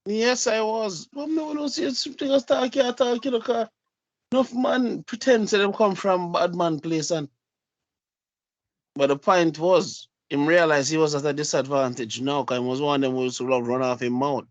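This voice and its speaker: A man with a thick Jamaican accent tells a story in casual patois, circling back and repeating himself. His voice sits a little high. The sound is dry and close, with no room echo. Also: fairly even intonation, normal speed, highs cut off. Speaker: podcast co-host. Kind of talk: casual chat.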